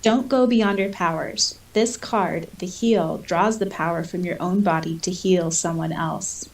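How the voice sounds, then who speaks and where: A woman's voice: lowish voice, a little slow, far from the mic. A woman co-hosting a podcast, chatting casually and reading out quotes. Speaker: podcast co-host. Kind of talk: casual chat; reading out quotes and listener comments.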